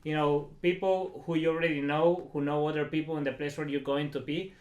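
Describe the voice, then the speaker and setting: Higher-voiced man, echoey room. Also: level tone, brisk, lo-fi sound. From El Salvador. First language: Spanish. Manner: informal, chatty, peppered with filler phrases. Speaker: interview guest, startup founder. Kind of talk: casual interview talk.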